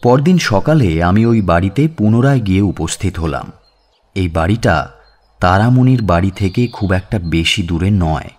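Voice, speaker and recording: A man's voice: low voice, lively intonation, normal speed, darkish tone, faint music behind. A man, reading a detective story in the first person as scripted dramatic audiobook narration.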